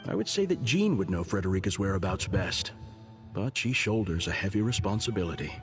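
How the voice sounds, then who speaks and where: A composed male cardinal from a video game. His delivery is formal and measured, yet melodic and gently wry. Soft music plays underneath. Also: low voice, animated. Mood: solemn, gentle. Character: composed, devout male cardinal, a fatherly churchman. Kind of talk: scripted video game dialogue.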